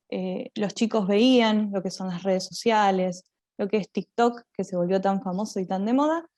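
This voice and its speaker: An Argentine woman presents as a drama teacher at a conference. Her voice is mellow and medium in pitch, recorded close and dry. She explains haltingly, doubling back on words. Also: dry recording, muffled recording.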